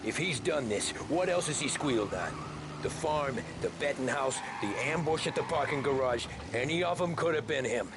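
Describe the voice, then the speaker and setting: A man's voice: on the high side, lively intonation, normal speed, background noise, natural voice. An actor playing a tough, loyal gangster who drives and backs up his boss in a game cutscene. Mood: steady. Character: loyal male mob driver and enforcer.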